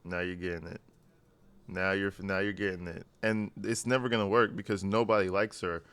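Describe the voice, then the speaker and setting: A man's voice: sits low, level tone, normal speed, a bit bright, clean tone. A man hosting a reaction channel, commenting bluntly and mockingly on a jail call.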